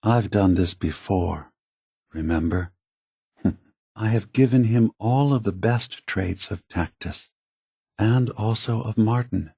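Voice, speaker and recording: Slow, weary, solemn android leader. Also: male voice, lowish voice, gravelly, mellow, breathy edge, no room sound, clean studio sound, full character voice. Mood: calm, grateful. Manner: measured, grave, diplomatic. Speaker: video game character. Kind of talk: scripted game dialogue.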